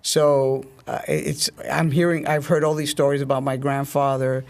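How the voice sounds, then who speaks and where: A man's voice: medium pitch, level tone, normal speed. A middle-aged man telling his story as a guest in a conversational interview. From Dominican family roots. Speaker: guest, army officer.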